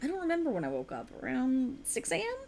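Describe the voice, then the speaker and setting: High-voiced, animated writer girlfriend, acted by a woman. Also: fast talker, gravelly, slight background noise, heavily acted. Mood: stubborn, defensive. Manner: teasing, dramatic, bantering. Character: sleep-deprived writer girlfriend, playfully arrogant, stubborn, excitable. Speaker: ASMR roleplay performer. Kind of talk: conversational ASMR girlfriend roleplay.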